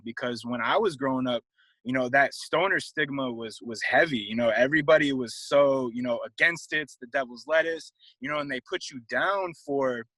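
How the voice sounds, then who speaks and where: A man's voice: medium pitch, sharp tone, no echo, rough recording. A man in his late twenties from Connecticut, a fitness trainer and guest, talking casually and rambling with lots of "um" and "you know". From Connecticut, USA. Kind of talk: casual interview talk.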